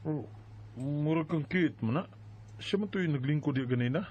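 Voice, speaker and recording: A man's voice: fairly high pitch, moderate pace, a little mellow, lo-fi sound, lightly acted. A man playing a casual, observant boyfriend with a Filipino accent in a radio drama, speaking in an offhand, conversational way.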